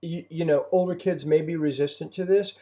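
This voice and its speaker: A middle-aged man shares parenting thoughts in a soft-edged, mellow voice. He speaks conversationally and reflectively, circling back and repeating phrases as he finds his way through a thought. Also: on the high side, steady tone, fairly quick. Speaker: homeschooling parent and co-host.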